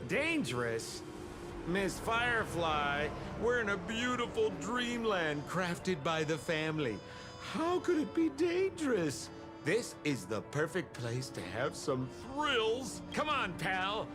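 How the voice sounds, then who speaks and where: A theatrical game con man with a raised, swooping voice. He takes his time over his words, flattering and playful like an oily salesman. Music plays behind him. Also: high voice, slow, full character voice. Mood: breezy, coaxing. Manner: flamboyant, ingratiating, salesman-like. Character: sly theatrical swindler, smooth-talking young man, playful and oily. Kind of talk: video game voice acting.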